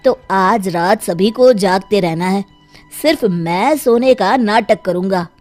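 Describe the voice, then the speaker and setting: A woman voicing a brave, resolute village man in a children's story, with an earnest, deliberate delivery. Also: mid-range voice, darkish tone, faint music behind, lightly acted.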